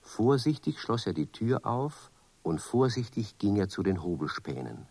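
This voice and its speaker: A man's voice: lowish voice, sing-song, measured pace, a little mellow, husky, no room sound. A man narrating a children's radio play as a scripted storyteller.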